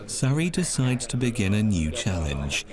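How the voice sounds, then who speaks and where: A man narrating a documentary in a calm, measured, scripted way. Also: on the low side, crowd noise. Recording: music underneath.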